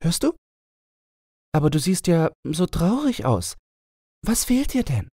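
A man's voice: fairly high pitch, big pitch swings, unhurried, dark tone, no echo, studio quality, voice pushed up, full character voice. A man voicing a cheerful young fairy-tale princess with playful, naive, bossy chatter. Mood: playful, inviting.

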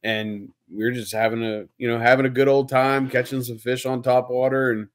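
A man's voice: medium pitch, level tone, a little fast, no room sound, studio quality. A man co-hosting a casual fishing podcast over a video call, telling stories with animation and hedging his opinions. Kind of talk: casual storytelling chat.

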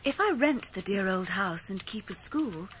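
Radio drama: woman as gentle, steadfast young Englishwoman, mid-pitched, devoted. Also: lively intonation, moderate pace, slight background noise, natural voice, rough recording. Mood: quietly resolute. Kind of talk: 1990s radio drama acting.

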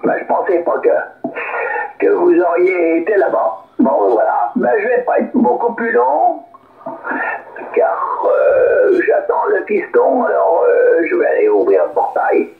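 Over a thin, phone-like radio signal, a man rambles haltingly in casual chat. His voice is high for a man and swings up and down a lot. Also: wildly swooping pitch, vocal fry, echoey room. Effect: radio transmission. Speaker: amateur radio operator.

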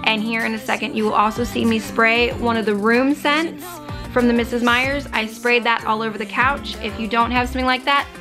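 A woman in her thirties walks viewers through her cleaning routine in a practical, instructive voice-over that sits a little high. She talks from home, and music plays clearly underneath her. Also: steady tone, brisk. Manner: instructive, practical, matter-of-fact. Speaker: cleaning vlogger. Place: home living room, on a couch. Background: background music.

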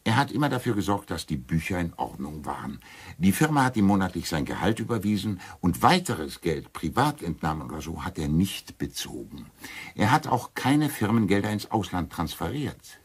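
Deep, husky, pedantic skeptic. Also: male voice, sing-song, normal speed, heavily acted. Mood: matter-of-fact. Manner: pedantic, dryly sarcastic. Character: pedantic, skeptical, nagging amateur sleuth, a novice. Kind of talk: German crime radio drama dialogue.

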